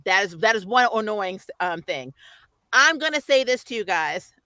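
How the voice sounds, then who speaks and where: Bright-voiced, emphatic woman. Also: medium pitch, expressive, normal speed, no room sound. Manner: exasperated, emphatic ranting, laughs often. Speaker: host of a pop culture review show. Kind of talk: casual, opinionated TV recap chat with a co-host.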